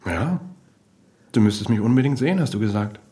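An actor in a German radio play playing a blunt, prying friend who talks in a chatty, teasing, informal way. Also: male voice, lowish voice, expressive, fairly quick, dark tone, lightly acted. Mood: probing.

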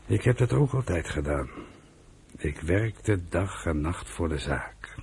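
A weary old underground mentor in a radio play. He speaks very slowly in a deep, gravelly voice, reflective and calming. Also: slight background noise, exaggerated voice. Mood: patient, reflective. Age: older. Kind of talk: radio drama acting.

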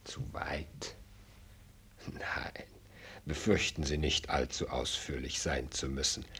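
An actor playing an elderly, deferential state minister in a radio drama, speaking in halting, ceremonious courtly phrases. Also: male voice, a bass voice, steady tone, fairly bright tone, whispered, full character voice. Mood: concerned, discreet. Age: older. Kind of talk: German radio drama acting.

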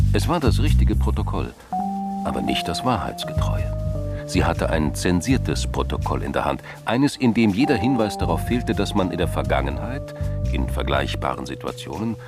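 A man's voice: rumbling low, creaky, heavily acted. A man narrating a scripted crime drama.

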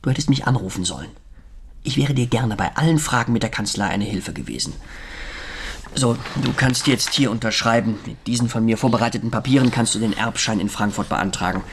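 An actor playing a pompous, evasive middle-aged lawyer, formal, stilted and patronising, in a German radio crime drama. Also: male voice, medium pitch, a little fast, slight background noise, lightly acted.

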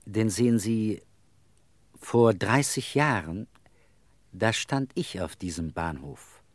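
Casting: eccentric, talkative middle-aged beggar with bourgeois airs, very lively pitch, dry close sound, radio drama. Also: male voice, slow, no echo. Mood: wistful confiding. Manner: theatrical, rambling storyteller, wry. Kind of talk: radio play acting.

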